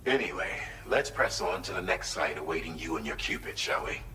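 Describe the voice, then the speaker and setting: A man playing a composed, formal mission controller in a video game, speaking in measured, articulate and polite briefings. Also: expressive, sharp tone. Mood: encouraging, formal. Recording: echoey hall, distant, noisy rumbling ambience.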